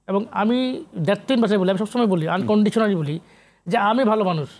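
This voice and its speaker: Male guest on a literary talk show. High voice, emphatic, rhetorical, drops in English words. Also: fast talker. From Comilla, Bangladesh. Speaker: guest poet and researcher. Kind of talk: interview talk.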